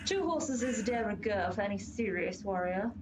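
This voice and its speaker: A woman's voice: medium pitch, lively intonation, normal speed, noisy background, close to an everyday voice. A woman playing a pompous, long-suffering horse of Pestilence in a comic radio play, lecturing and exasperated. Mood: weary, lecturing. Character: haughty, long-suffering female horse of Pestilence, bossy explainer.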